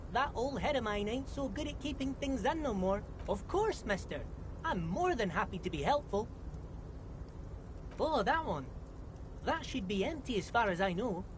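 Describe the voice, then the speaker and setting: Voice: mid-range voice, sing-song, measured pace, noisy background. An actor playing a humble, forgetful, chatty old character in a video game, with a Scottish accent and a deferential, rambling way of talking. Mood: friendly, eager.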